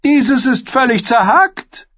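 An arrogant boy king from a fantasy audiobook, with a voice pushed very high and swinging widely. He is cruel, excitable and imperious, boasting as he goes. The sound is slightly dull. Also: takes it slowly, no room sound, exaggerated voice. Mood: haughty. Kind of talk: fantasy audiobook character acting.

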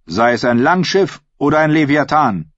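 A man's voice: fairly high pitch, expressive, normal speed, a bit bright, no echo, muffled recording, pitched above the performer's own voice. A man voicing a stern, unyielding veteran sea captain in an audiobook, speaking in weighty pronouncements. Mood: grim, contradicting. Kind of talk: dramatic audiobook character voice.

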